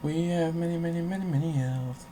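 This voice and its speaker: A man's voice: fairly high pitch, brisk, warm tone, slight background noise. A man hosting a gaming session with casual, sweary commentary, playfully theatrical and breaking into bits of song. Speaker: gaming show host. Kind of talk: casual game commentary with bursts of singing.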